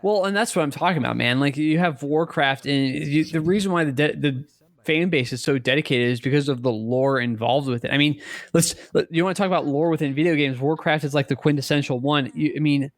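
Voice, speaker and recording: A man with a slightly higher-than-average voice talks casually as a podcast co-host. He repeats and rephrases as he goes, and the recording is very dry, with no room sound. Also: a little fast, no room sound. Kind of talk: casual podcast chat about video games.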